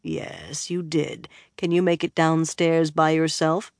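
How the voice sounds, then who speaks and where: A woman voicing a gruff older male bard who speaks low, soft and weary. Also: little pitch movement, takes it slowly, dry recording, studio quality.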